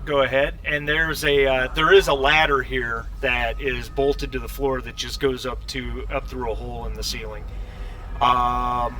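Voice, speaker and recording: A man's voice: medium pitch, level tone, a little slow, sharp tone, poor audio. A man acting as game master, narrating scenes to the players of a tabletop role-playing game.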